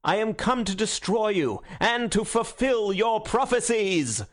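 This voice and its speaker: A man's voice: high voice, normal speed, gravelly, voice pushed up. A man voicing a fiery, defiant young orator in a storybook, declaiming with thunderous rhetoric. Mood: thundering, triumphant.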